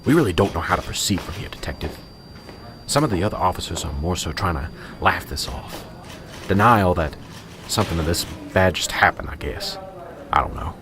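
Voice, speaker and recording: A man's voice: sits low, animated, fairly quick, background noise. A man acting in a radio drama as a flustered small-town police sergeant, apologetic, hesitant and eager to please. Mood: anxious, weary.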